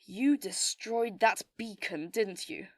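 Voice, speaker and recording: A woman's voice: mid-range voice, slow pace, dry recording, clean studio sound. A woman playing a commanding, wry military squad leader in an audio drama, speaking with firm authority. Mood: brisk, wry. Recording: noisy battle sound effects behind.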